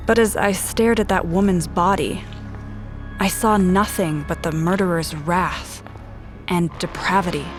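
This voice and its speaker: An actress playing a blunt, distrustful special agent in a noir audio drama, narrating and confronting in terse lines. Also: female voice, lowish voice, fairly even intonation, a little slow, close to an everyday voice. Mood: grim, brooding narration. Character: hard-boiled, distrustful female special agent. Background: background music.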